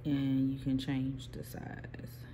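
A person casually explaining printer software step by step, with frequent pauses and restarts, recorded on a phone aimed at a computer screen. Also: medium pitch, monotone, background noise. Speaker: small print shop owner giving a software tutorial. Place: indoor workspace at a computer. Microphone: phone filming a computer screen.

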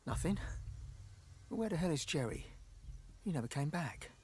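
A man playing an uneasy, irritable hunter in a video game, grumbling in a gruff, casual way. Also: fairly high pitch, very animated, normal speed, darkish tone, slight background noise. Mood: uneasy, suspicious. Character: uneasy, irritable male hunter guarding a camp. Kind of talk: video game cutscene acting.

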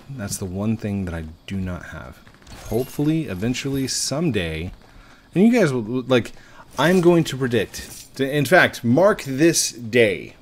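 A man in his late thirties, rambling and joking at himself while commenting on his gameplay into a headset mic. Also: mid-range voice. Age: 30s. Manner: rambling, self-mocking, chatty. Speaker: gaming YouTuber. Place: home room at a desk.

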